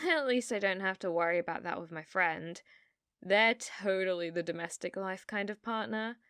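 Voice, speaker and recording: A young British girlfriend, acted by a woman in an intimate ASMR roleplay. She speaks softly and unhurriedly at a medium pitch, coy and sweetly manipulative as she teases. The sound is close and very dry. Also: no echo. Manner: coy, soft-spoken, teasing with feigned innocence.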